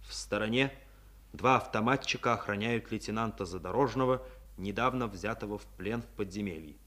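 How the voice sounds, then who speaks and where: A man narrating a 1950s Soviet war radio play in a solemn, measured, scripted dramatic style. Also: fairly low pitch, fairly bright tone, close to an everyday voice.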